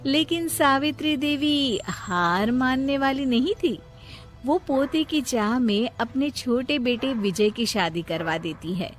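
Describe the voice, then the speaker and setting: A woman's voice: sits high, lively intonation, slow pace, faint music behind. A woman storyteller reading scripted narration of a moral family story.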